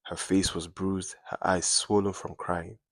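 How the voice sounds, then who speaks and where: A man's voice: low-pitched, little pitch movement, measured pace, no echo. A man narrating a scripted dramatic story in suspenseful, short clipped phrases.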